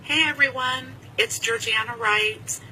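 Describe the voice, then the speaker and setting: A woman in her forties from Ohio, giving a warm, encouraging and upbeat video message of support. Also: sits high, unhurried, a little hiss or hum, low-quality recording. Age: 40s.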